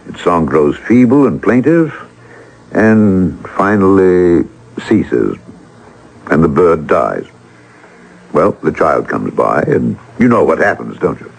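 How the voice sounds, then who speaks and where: A reflective older man spinning a story in a radio play. His voice sits fairly low and moves expressively up and down. He is theatrical and takes his time, pausing for effect. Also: big pitch swings, gravelly, husky, exaggerated voice, poor audio. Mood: reflective, mournful. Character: reflective older gentleman, once a starving artist, storytelling. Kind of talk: vintage radio drama acting.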